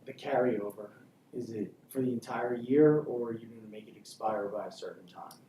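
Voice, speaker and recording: A man's voice: mid-range voice, moderate pace, warm tone. A man asking questions informally as a participant in a meeting. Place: meeting room, picked up from a distance. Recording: echoey room, distant mic, clear noise.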